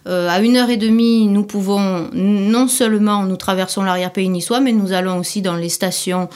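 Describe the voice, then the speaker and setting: Woman with a southern French accent, middle pitch, measured interviewee. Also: steady tone, darkish tone. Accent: southern French (Midi). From Corsica. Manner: measured, thoughtful, explaining at length. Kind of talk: unscripted interview answers for a language course.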